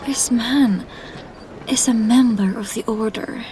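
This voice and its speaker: A woman's voice: fairly high pitch, takes it slowly, mellow, a little hiss or hum. A woman playing a nervous, evasive young female thief in a fantasy audio drama, speaking hesitantly. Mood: flustered, anxious. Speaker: voice actor.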